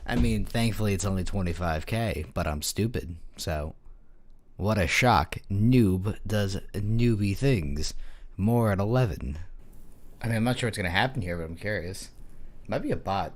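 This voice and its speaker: A man with a hoarse voice from a cold, giving casual, self-mocking commentary over his own gameplay, thinking aloud and getting excited at small wins. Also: lowish voice, moderate pace. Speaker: gaming YouTuber.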